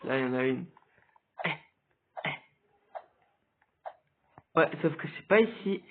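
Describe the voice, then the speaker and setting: A man with a cold giving hesitant, casual commentary over a game, with sudden excited outbursts. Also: a little high, takes it slowly. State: stuffy with a cold. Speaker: gaming video creator. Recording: tinny phone-like recording.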